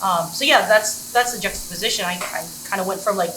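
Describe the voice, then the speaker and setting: A woman's voice: medium pitch, quick pace, bright, forceful tone. A young American woman telling personal stories casually and earnestly, peppering her sentences with "like". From Middleburg, Florida, United States. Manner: earnest, heavy use of "like". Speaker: guest, social media content creator. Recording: roomy, noisy room with sizzling food.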